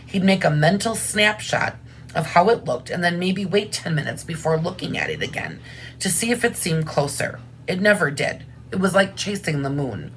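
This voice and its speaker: A woman's voice: fairly low pitch, very animated, normal speed, slight background noise, low-quality recording. A woman reading aloud expressively as a teacher, with simple, informal explanations about what to draw. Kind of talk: expressive read-aloud with drawing asides.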